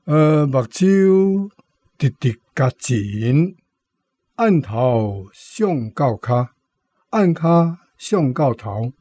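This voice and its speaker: A man with a Taiwanese accent explaining vocabulary like a language teacher, deliberately, word by word. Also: fairly high pitch, very animated, mellow. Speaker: language commentator.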